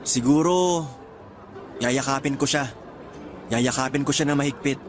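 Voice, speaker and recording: A man's voice: mid-range voice, a little slow, faint music behind, natural voice. An actor with a Filipino accent playing an earnest, wistful young man traveling abroad, in conversational radio drama dialogue.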